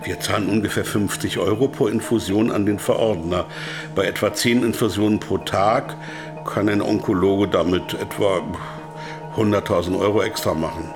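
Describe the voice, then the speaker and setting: A lowish-voiced businessman held for questioning, played by a male actor in a radio thriller. He explains in a businesslike way with unease underneath, while music plays behind him. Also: fairly even intonation, normal speed, natural voice. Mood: smug, factual. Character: captive pharma manager, matter-of-fact, uneasy under questioning. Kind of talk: scripted audio drama dialogue.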